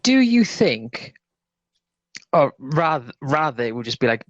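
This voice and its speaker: A man's voice: medium pitch, sing-song, measured pace, no room sound, lo-fi sound. A man from England in casual conversation, asking questions hesitantly with restarts. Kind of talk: casual chat.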